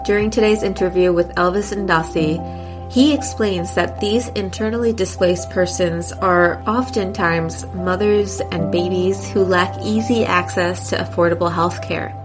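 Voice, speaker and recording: A woman hosting a podcast, opening with a scripted introduction and talking in an enthusiastic, upbeat way. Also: on the low side. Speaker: podcast host. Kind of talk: scripted intro then interview.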